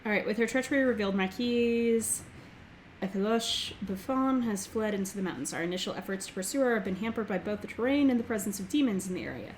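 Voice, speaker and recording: Slightly hoarse woman hosting a let's play. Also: medium pitch, slight background noise, low-quality recording. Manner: reads game text aloud quickly, filler-heavy. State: recovering from throat infection, slightly hoarse. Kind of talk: casual gameplay commentary.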